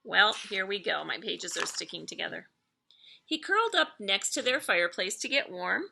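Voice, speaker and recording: A middle-aged woman reading a children's story aloud in an expressive, deliberate storytelling manner. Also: mid-range voice, creaky, noisy background. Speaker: elementary school staff member reading aloud to pupils.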